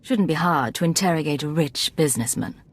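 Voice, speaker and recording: A woman's voice: low voice, a little fast. A woman voicing a cool, self-assured secret agent in a video game, terse and controlled. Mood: cool, determined.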